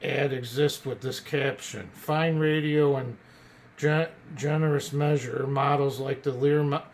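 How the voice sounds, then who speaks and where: A man casually presenting an old radio as a collector, partly reading from notes in a halting way and stumbling over words. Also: medium pitch, steady tone, slight background noise.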